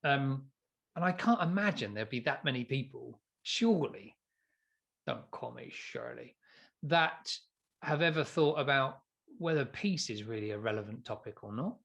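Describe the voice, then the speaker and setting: A man's voice: medium pitch, animated, normal speed, vocal fry, a bit bright, highs cut off. A man co-hosting a podcast, sounding stuffy and nasal from hay fever, rambling with stammered restarts and frequent laughter. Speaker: podcast co-host. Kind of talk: casual chat.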